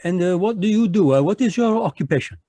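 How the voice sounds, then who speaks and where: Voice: medium pitch, lively intonation, quick pace, dark tone, no echo, lightly acted. A performer voicing a fussy, polite, shrewd Belgian male detective with a Belgian French accent, speaking courtly and hesitant in a live radio drama read over a video call. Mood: probing.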